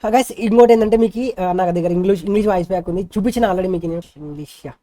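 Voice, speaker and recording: A woman's voice: medium pitch, rapid-fire, dark tone. A woman with a Telugu accent chatting casually to viewers as a gaming streamer, mixing in informal English slang. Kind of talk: casual livestream chat to viewers.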